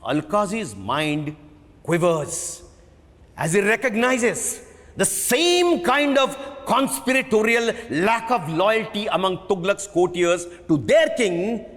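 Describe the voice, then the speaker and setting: Indian male stage reader, high-pitched and slow, theatrical narration with dramatic pauses, reverberant hall. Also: lively intonation, fairly bright tone. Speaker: stage actor giving a dramatic book reading. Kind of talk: dramatic literary reading, scripted narration. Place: large auditorium at a live reading. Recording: echoey hall with long reverb.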